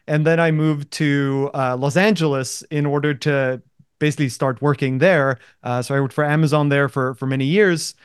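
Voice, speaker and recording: A man from Norway, a guest in a casual interview who talks in a relaxed way and repeats words as he starts sentences. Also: a little high, clean tone, no room sound, studio quality.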